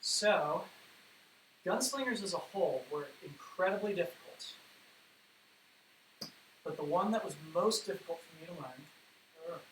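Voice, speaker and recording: A man's voice: high-pitched, lively intonation, moderate pace, long pauses, fairly bright tone, low-quality recording. A man losing his voice, hosting a livestream Q&A and teaching poi spinning in a chatty, rambling way full of fillers. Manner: chatty, rambling explanations with many fillers and restarts. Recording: home livestream with faint hiss.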